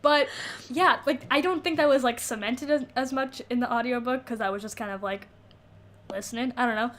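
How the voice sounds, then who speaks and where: A woman's voice: fairly high pitch, fairly quick, fairly bright tone, slight background noise. A woman co-hosting a podcast, chatting informally about a book and reacting with short interjections. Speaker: podcast co-host. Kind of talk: casual book discussion chat.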